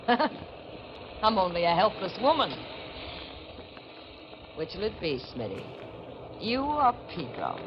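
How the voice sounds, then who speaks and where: Casting: hard-boiled, greedy femme fatale for a vintage radio thriller, bossy and sardonic; dull, noisy old recording. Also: female voice, medium pitch, wildly swooping pitch, slow pace, pauses often. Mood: coolly menacing. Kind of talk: 1940s radio thriller acting.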